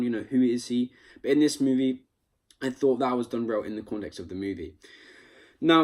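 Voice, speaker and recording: A man's voice: mid-range voice, darkish tone. Young London man, emphatic and opinionated. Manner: emphatic, opinionated, casually sweary. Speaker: amateur film reviewer. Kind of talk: casual vlog rant and review.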